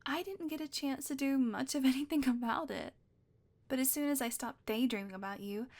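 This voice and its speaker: A young woman voicing an obsessive, possessive witch in an audio roleplay, talking sweetly and coaxingly and often dropping to a whisper. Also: sits high. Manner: sweet, coaxing, softly controlling, slips into whispers.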